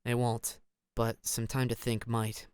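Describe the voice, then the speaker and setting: A man plays a calm, insightful mentor in a fantasy audio drama. He speaks in a measured, courteous way at a middle pitch, with very little room sound. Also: clear, resonant tone, no room sound, close to an everyday voice. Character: Nobu, calm, polite, insightful male mentor.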